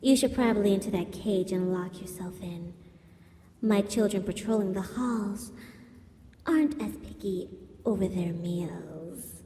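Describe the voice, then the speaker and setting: A woman's voice: mid-range voice, mellow, natural voice. A woman playing a villainous female spider robot in an ASMR roleplay, a seductive, menacing captor who talks in a haughty, taunting purr. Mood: imperious, taunting. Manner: slow, purring, haughty and taunting. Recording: echoey hall-like reverb.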